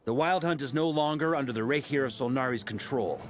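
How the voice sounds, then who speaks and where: An actor playing a seasoned, easygoing knight commander in a video game, casual but commanding. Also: male voice, medium pitch, fairly quick, soft background music, lightly acted. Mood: briefing. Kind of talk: English video game voice acting.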